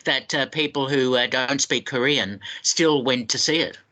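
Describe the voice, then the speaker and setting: A man's voice: medium pitch, normal speed, bright, forceful tone. A man whose first language is German, a film critic guest who makes brief, dry remarks in casual conversation. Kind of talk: casual chat.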